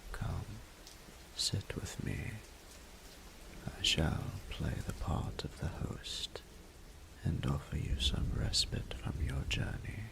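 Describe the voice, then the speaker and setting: A man voicing an ancient, haughty and flirtatious male dragon in a roleplay audio drama, speaking in a formal, imperious, deliberate way. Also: sing-song, in a whisper, full character voice. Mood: hospitable, commanding. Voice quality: breathy, gravelly low voice.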